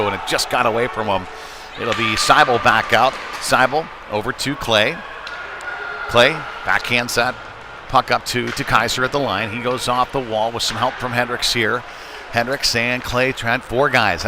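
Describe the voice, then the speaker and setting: Male hockey commentator, mid-to-low everyday pitch, folksy play-by-play, conversational asides, noisy rink behind him. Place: indoor ice rink during a live game. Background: clear rink and game noise.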